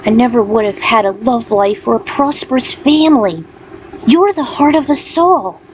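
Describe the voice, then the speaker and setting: A woman's voice: fairly high pitch, expressive, moderate pace, pitched above the performer's own voice, natural voice. A woman voicing a tender, devoted male warrior in a story, earnestly consoling his beloved. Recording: clearly noisy background.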